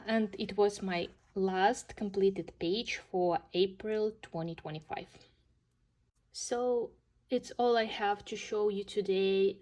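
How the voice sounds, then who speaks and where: Slow-talking woman with a Ukrainian accent. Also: mid-range voice. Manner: hesitant, many fillers, gentle and enthusiastic. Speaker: coloring channel host. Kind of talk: casual show-and-tell vlog.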